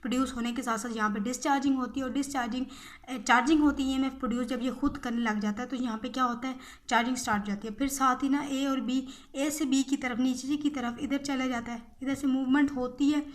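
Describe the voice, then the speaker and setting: A woman's voice: high-pitched, steady tone, quick pace. A young woman giving an informal explanatory lecture, repeating herself, asking and answering her own questions and mixing in English technical terms. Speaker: physiotherapy teacher.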